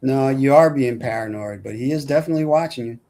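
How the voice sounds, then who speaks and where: A man's voice: medium pitch, fast, warm tone, natural voice. A man reading the part of a casual, skeptical coworker at a film script table read.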